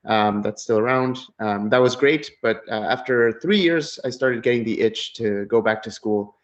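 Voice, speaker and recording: A middle-aged man from Ecuador giving a relaxed conference talk over a video call, with many fillers and restarts. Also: medium pitch, normal speed. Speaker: keynote speaker. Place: home office. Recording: dull lo-fi video call audio.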